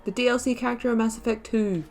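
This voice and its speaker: A woman's voice: on the high side, steady tone, fast talker, creaky, a little mellow, soft background music. A woman giving casual, reflective, rambling commentary as she hosts a video game playthrough. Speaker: gaming video host.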